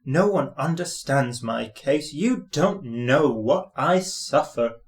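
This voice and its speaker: A man's voice: mid-range voice, lively intonation, slow. Man as moaning, self-pitying big engine. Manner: moaning, complaining.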